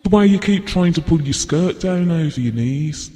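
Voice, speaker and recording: A man playing a gentle but frank, cynical stranger who watches people, in an audio drama. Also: on the high side, animated, normal speed, close to an everyday voice. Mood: gentle, probing. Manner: apologetic yet blunt. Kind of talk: naturalistic audio drama acting. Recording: long reverb on the voice.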